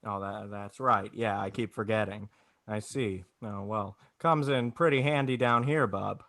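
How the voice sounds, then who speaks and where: A man's voice: on the low side, unhurried, fairly bright tone, dry recording, studio quality. A man playing a despairing, wry, bewildered family man with a stammering, folksy manner, in 1940s radio drama style. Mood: wry disbelief. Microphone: video call.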